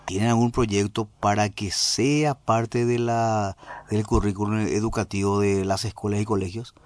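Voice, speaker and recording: A male radio host, asking halting questions full of "eh" fillers. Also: lowish voice, steady tone, darkish tone, no room sound.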